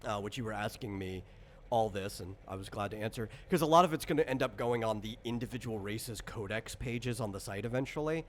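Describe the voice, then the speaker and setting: A man's voice: lowish voice, fairly quick. A man hosting a casual tabletop character creation chat as game designer, explaining things in a rambling, self-correcting way. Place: online voice call recorded on computer. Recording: clear background noise on voice chat.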